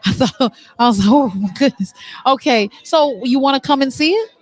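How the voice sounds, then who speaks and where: A woman's voice: high-pitched, normal speed. A woman hosting an on-location interview show, talking in an effusive, encouraging way.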